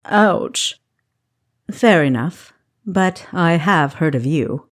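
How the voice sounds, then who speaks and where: A woman's voice: deep voice, lively intonation, very slow, heavily acted. A woman voicing a cocky, charming male thief in an audiobook, with a sly, teasing manner. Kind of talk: audiobook character acting.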